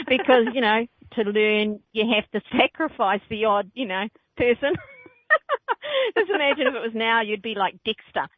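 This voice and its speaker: A woman's voice: fairly high pitch, big pitch swings, measured pace, dry recording. Woman radio host doing psychic readings, playful and jokey, dry close sound, narrow phone-like audio. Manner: playful, jokey, laughs often. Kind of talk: casual call-in radio chat.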